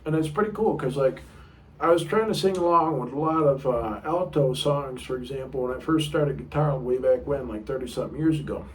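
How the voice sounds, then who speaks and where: A middle-aged man with a low baritone voice teaching a casual guitar lesson, chatty and rambling, sometimes singing short notes. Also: a little fast. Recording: room mic a bit far off, guitar playing.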